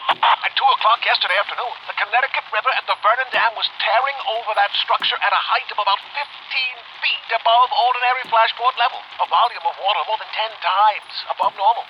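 Vintage radio field reporter, high-pitched, shaken and dramatic, muffled old-broadcast sound. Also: male voice, fast, a little hiss or hum. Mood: grave, urgent. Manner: grave, emphatic, dramatic reporting. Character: shaken 1920s radio newsman reporting live from a flood. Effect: old radio transmission filter. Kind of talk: 1920s radio news report acting.

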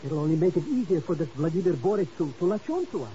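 Casting: cowardly comic sidekick, whiny and stammering, lively higher pitch, vintage radio thriller. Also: male voice, quick pace. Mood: fretful, grumbling. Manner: stammering, whiny, fretful complaining. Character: nervous, grumbling, self-serving comic sidekick. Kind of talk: 1950s radio drama acting. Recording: noisy, muffled old radio recording.

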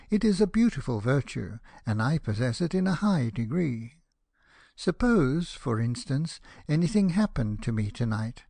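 A man plays a vain storybook firework rocket who takes offence at everything. He declaims with pompous indignation and wounded self-pity. The tone is mellow and dark, in a dry recording. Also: mid-range voice, vocal fry, husky, no room sound, voice pushed up, exaggerated voice. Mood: self-important. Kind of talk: dramatized storybook reading.

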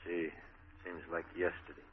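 An actor playing a hard-bitten, bitter New York gangster with a husky voice in a 1940s radio drama. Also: male voice, low-pitched, flat delivery, moderate pace. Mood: tender, longing. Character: hard-bitten gangster on the run, bitter, longing for old sweetheart. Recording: muffled old radio broadcast.

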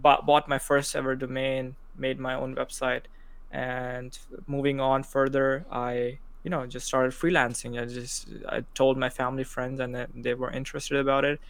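Young man from Pakistan, guest, halting delivery with fillers, flat intonation, clean dry sound. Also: mid-range voice, clean tone, no room sound. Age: 20s. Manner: hesitant, many fillers and restarts. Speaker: podcast guest. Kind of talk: casual interview chat.

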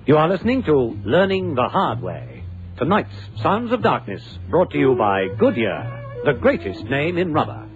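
A male announcer introduces an old radio drama with slow, theatrical delivery. His voice is fairly high and swoops dramatically across its range, over loud music on a narrow vintage recording. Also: wildly swooping pitch. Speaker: series announcer. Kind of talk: dramatic 1960s radio announcing with sponsor credit.

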